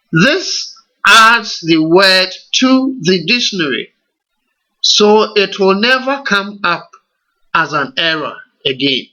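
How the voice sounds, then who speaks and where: A man's voice: high voice, pushed and bright, low-quality recording. A man with a Ghanaian English accent, walking learners through a computer tutorial step by step and asking them rhetorical questions. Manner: slow, deliberate step-by-step instruction with rhetorical questions. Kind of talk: screen-recorded software tutorial.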